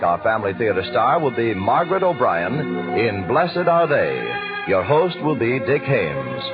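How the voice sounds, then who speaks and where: An American man delivering formal, scripted announcements in 1940s network radio style. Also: medium pitch. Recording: muffled 1940s radio recording, music behind. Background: clear music.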